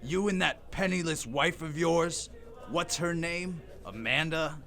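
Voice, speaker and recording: A man's voice: fairly high pitch, unhurried, sharp tone. An actor in a radio play voicing a grumpy, miserly old businessman, scornful and blustering. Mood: irritable, dismissive. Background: music.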